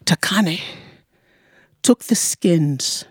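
A woman storyteller narrating a folktale dramatically, expressive and rhythmic, repeating words for effect. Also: fairly low pitch, extremely slow, breathy edge, dry recording, studio quality.

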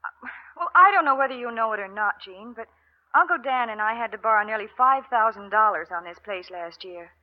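A woman's voice: a little high, lively intonation, brisk, natural voice. An actress playing an earnest, worried young woman rancher in a 1940s radio drama. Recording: dull lo-fi old radio recording, faint hiss.

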